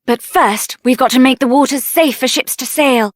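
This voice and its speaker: A headstrong young sorceress from a video game speaks with a British accent, acted out by a woman. Her voice is strikingly high, and she delivers lines with theatrical, assertive conviction and a fiery temper. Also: normal speed, no room sound, clean studio sound, lightly acted. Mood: determined, pensive. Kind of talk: video game character voice acting.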